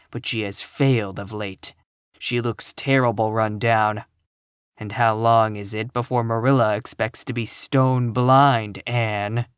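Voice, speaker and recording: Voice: mid-range voice, no room sound, clean studio sound, natural voice. A narrator voicing a grim, sour, scornful spinster in her fifties from a storybook, full of gloom and contempt. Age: 50s. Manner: grim, scornful, flat pronouncements.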